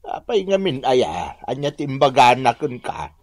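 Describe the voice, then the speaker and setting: Concerned, expressive middle-aged husband. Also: male voice, medium pitch, a little slow, no echo, studio quality. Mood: concerned, consoling. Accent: Filipino. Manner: concerned, questioning. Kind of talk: Ilocano radio drama acting.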